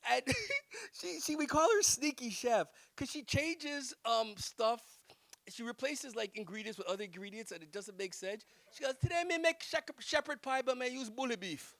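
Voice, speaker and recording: A young man performing a stand-up comedy set at a wedding reception on a handheld mic, telling animated stories and laughing at his own jokes. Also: extremely high pitch, normal speed, dry recording. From Jamaica. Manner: animated storytelling with self-amused laughs.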